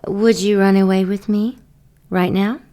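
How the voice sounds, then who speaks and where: A woman's voice: mid-range voice, fairly even intonation, unhurried, dark tone, lightly acted. An actress playing a seductive, secretive woman in an audio drama, teasing and flirtatious.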